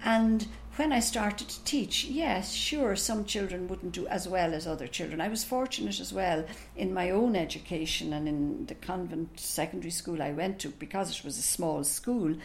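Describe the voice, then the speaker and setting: An older woman with an Irish accent whose first language is Irish, answering interview questions in a thoughtful, unhurried, explanatory way as a retired professor. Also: lowish voice, a little hiss or hum. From Ireland.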